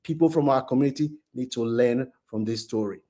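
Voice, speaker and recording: A man's voice: mid-range voice, brisk, dark tone. A man telling a cautionary story to viewers as a channel host, emphatic and often repeating his phrases. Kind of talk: moralising storytelling commentary to viewers.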